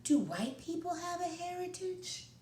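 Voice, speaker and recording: Woman doing an excited little boy. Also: normal speed. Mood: curious. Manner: high, bright and excited. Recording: roomy, distant, faint background noise.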